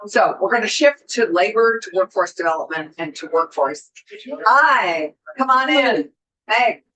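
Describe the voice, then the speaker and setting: A woman chairing a legislative committee hearing, brisk and directive as she keeps things moving. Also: mid-range voice, lively intonation, pushed and bright, slight background noise. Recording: distant from the mic.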